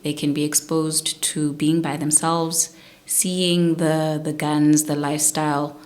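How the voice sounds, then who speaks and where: A woman hosting a podcast interview, asking thoughtful, probing questions and listening with soft murmurs. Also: low-pitched, little pitch movement, slow pace. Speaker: interviewer host.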